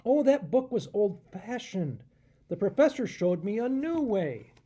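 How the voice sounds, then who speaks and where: A man's voice: high voice, big pitch swings, a little slow, warm tone, husky, dull audio, pitched above the performer's own voice, heavily acted. A man voicing an eager, impatient young male raccoon in a children's storybook, exclaiming with enthusiasm.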